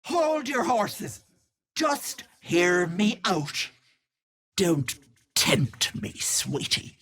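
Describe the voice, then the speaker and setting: A woman playing a sly old hag witch in a video game, with an Irish accent and a husky, raspy voice that wheedles sweetly and turns menacing. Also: lowish voice, very animated, extremely slow, fairly bright tone, heavily acted. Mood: teasing, sly. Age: older. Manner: wheedling endearments turning to menace. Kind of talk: video game character acting.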